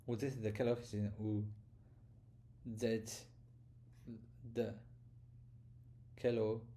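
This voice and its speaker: An adult man learning French, repeating practice phrases slowly and stumbling over words as he records himself. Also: on the low side, level tone, warm tone. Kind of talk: self-recorded pronunciation practice.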